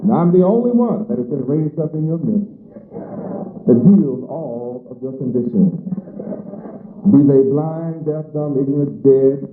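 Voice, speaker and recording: Male preacher, emphatic repetitive cadence, fairly high voice, thin phone-like old recording with roomy echo. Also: animated, a little slow, long pauses. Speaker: religious teacher. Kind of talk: sermon. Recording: echoey lo-fi phone-like archive recording.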